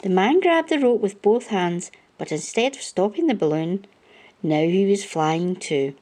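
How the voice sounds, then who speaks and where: A woman reading a children's storybook aloud as an expressive narrator. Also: medium pitch, measured pace.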